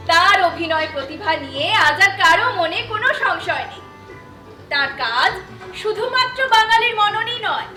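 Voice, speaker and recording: Poised female talk-show presenter, stage actress, bright formal delivery, music behind, echoing theatre. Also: extremely high pitch, exaggerated voice. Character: poised female television host interviewing a celebrated actor. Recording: echoey hall with music behind.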